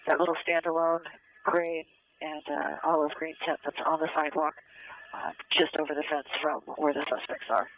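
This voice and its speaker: A man's voice: fairly high pitch, flat delivery, moderate pace. A man working as a police air unit officer, explaining things procedurally over police radio. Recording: tinny police radio with static and clicks.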